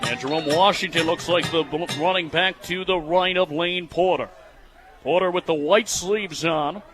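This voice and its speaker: A young American man in his twenties doing live football radio play-by-play, excitable and quick to raise his voice on plays. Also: fairly high pitch, faint music behind. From United States, Michigan. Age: 20s.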